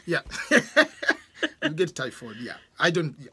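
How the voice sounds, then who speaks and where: A middle-aged man with a Tanzanian accent interviewing in a casual, unhurried way, often restarting his sentences, recorded on a desk microphone in a small home room. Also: a little high, expressive, a bit bright, breathy edge. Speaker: interviewer, host. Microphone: desk microphone on stand.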